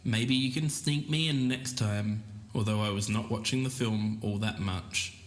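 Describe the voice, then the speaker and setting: A man's voice: fairly low pitch, unhurried. A man co-hosting a podcast, moving between scripted narration and casual chat. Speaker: podcast co-host. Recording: clear background noise, recorded on location.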